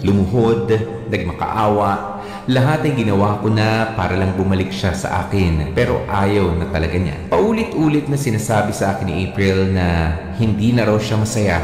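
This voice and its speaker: A man's voice: lowish voice, expressive, darkish tone, lightly acted. An actor playing a heartbroken, sincere husband telling his story as radio drama narration. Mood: hurt, resigned. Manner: sorrowful, deliberate storytelling. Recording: very echoey hall with faint music.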